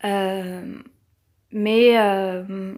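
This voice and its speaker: A woman's voice: medium pitch, fairly even intonation, very slow, darkish tone. A woman with a French accent who has a cold, giving a rambling personal monologue as a vlogger, tense and hesitant, with many fillers and restarts.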